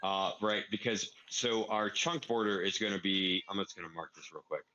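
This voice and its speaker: A man's voice: sits low, moderate pace, bright, forceful tone, muffled recording. A man explaining a game build casually, step by step, thinking aloud as he plays. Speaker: player explaining a farm build. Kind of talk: casual gaming tutorial.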